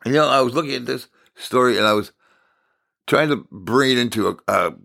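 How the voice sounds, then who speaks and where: An American man from Minnesota giving an informal sermon as a Bible teacher, telling stories in deliberate, halting phrases with emphatic repetition. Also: mid-range voice, creaky, sharp tone. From Minnesota, United States.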